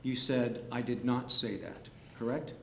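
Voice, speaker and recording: A man's voice: mid-range voice, steady tone, unhurried, creaky. A man working as defense attorney, cross-examining a witness with methodical, leading yes-or-no questions. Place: courtroom. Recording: echoey courtroom, faint hiss.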